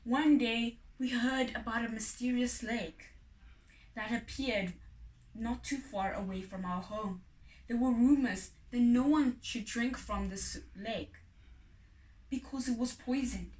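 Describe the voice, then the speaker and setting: A woman narrating a children's folk tale expressively, with deliberate, dramatic phrasing and pauses. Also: on the high side, darkish tone. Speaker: storybook reader. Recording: echoey room, distant microphone.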